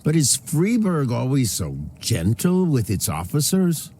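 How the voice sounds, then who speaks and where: An arrogant upstart gangster villain from a video game. He talks slowly in a dark, mellow voice with swooping intonation, theatrically polite and sly. There is some noise behind him. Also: mid-range voice, sing-song. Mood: sly, mock-friendly. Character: smooth, arrogant, flamboyant upstart gangster boss.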